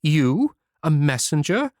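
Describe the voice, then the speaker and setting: Man putting on a high, doubting storybook mother. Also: very animated, slow pace, darkish tone, no echo, studio quality. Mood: mocking. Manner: exclaiming, emphatic. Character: amused, doubting mother of a tiny son. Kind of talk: storybook character voice.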